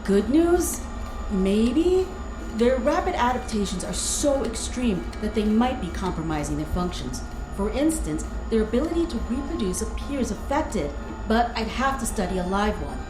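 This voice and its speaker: Confident female army researcher, animated and assertive, sci-fi radio drama, echoey with score underneath. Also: a little high, moderate pace. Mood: intent, urgent. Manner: assertive, explanatory. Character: brisk, confident female military scientist. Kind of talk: sci-fi audio drama dialogue. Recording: echoey room under music.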